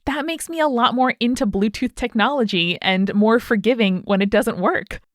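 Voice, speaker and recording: A woman co-hosting a podcast, reading out a listener's story in an animated way with chatty asides. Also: on the high side, normal speed, dry recording, studio quality. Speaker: podcast co-host.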